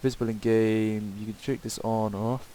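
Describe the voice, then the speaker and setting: A man giving a casual, improvised software tutorial in a hesitant, rambling way. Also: lowish voice, flat delivery, moderate pace. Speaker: tutorial presenter. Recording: hissy home microphone recording.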